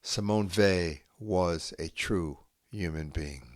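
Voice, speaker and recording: A man reading a philosophical essay aloud in a measured, deliberate way, with long pauses between phrases. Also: low-pitched. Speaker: reader and host. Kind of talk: slow solemn reading of an essay.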